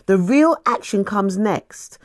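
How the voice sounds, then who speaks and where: A woman voicing a fiery, scolding male desert preacher in dramatic scripture storytelling, with forceful, urgent emphasis. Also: mid-range voice, animated, slow, dry recording, studio quality. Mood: urgent, exhorting.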